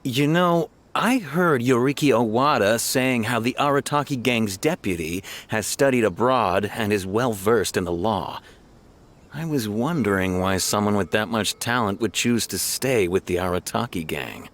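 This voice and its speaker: An actor playing a cautious but soft-hearted town officer in a video game, talking in a hesitant, conversational manner. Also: male voice, lowish voice, lively intonation, moderate pace. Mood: pondering, doubtful.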